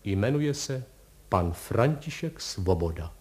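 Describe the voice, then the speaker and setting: A man playing a hollow-voiced, funereal gentleman. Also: on the low side, animated, pitched below the performer's own voice. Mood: mournful. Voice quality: hollow, sepulchral. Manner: slow, mournful, formal.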